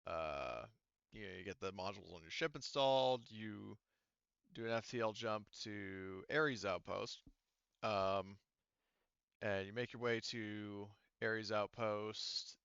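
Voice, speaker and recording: Male game master, slightly low pitch, clean tone, casual improvised narration, halting, rambling, hedging. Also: vocal fry, a bit bright, clear, resonant tone, no echo, highs cut off.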